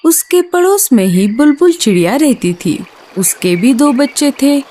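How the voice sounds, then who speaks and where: A woman narrating a cartoon story in an expressive storytelling manner. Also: high-pitched, unhurried.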